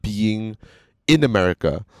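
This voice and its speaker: A young Malaysian man with a Malaysian English accent, chatting casually in a blunt, profane, animated way. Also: lowish voice, a little slow, no room sound, studio quality. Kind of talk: casual chat.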